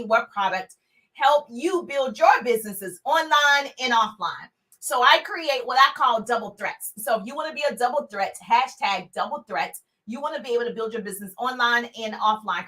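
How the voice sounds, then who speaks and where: Energetic woman business coach on a live stream, big voice, high and bright, preachy and direct. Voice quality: big, full voice. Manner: energetic, preachy, talks straight to viewers with repeated calls to share. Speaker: motivational speaker and business coach.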